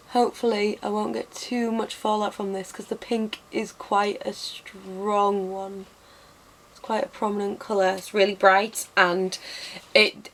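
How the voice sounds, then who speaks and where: Young woman, slightly hoarse, chatty and self-deprecating, home webcam vlog. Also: medium pitch. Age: young adult. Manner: chatty and self-mocking, lots of ums and restarts. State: slightly hoarse, losing her voice. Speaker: beauty vlogger. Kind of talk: casual makeup tutorial chat. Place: bedroom with bookshelves, indoors.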